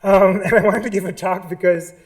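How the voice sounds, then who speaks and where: A man's voice: high voice, fairly even intonation, fairly quick. A man with a Pakistani accent giving a live artist talk at a museum, nervous and full of ums, restarts and asides. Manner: nervous, many fillers and restarts. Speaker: artist speaker.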